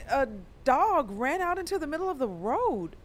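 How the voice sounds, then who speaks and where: A woman's voice: high-pitched, sing-song, normal speed. An actress playing a young woman driving who talks to her father, polite and reassuring. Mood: calm.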